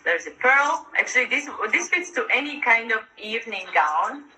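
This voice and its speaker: Gushing woman, really bright sharp voice, chatty show and tell on a live call. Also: a little high, very animated, moderate pace, a little hiss or hum, low-quality recording. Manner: gushing, enthusiastic. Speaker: guest showing her fashion collection. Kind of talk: casual chat, show-and-tell. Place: online live video call.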